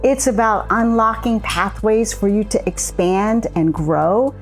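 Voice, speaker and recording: A woman co-founder giving a measured, explanatory account in a scripted promotional conversation. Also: medium pitch, soft background music. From immigrant. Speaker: co-founder of a coaching method.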